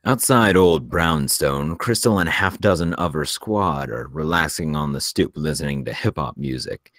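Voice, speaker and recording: A man with an extremely low voice narrates a screenplay's action lines. His reading is measured and scripted. The recording is dry, with very little room sound. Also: expressive, fairly bright tone, no echo. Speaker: narrator reading stage directions.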